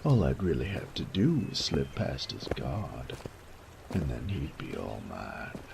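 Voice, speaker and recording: A male actor voices a sadistic bandit with a gravel-thick, bottom-of-the-range voice. He drawls slowly in coarse slang, teasing and menacing by turns. It is an audio roleplay drama, with noticeable ambient noise behind him. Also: wildly swooping pitch, exaggerated voice. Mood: amused, scornful. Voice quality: gravelly, creaky low voice.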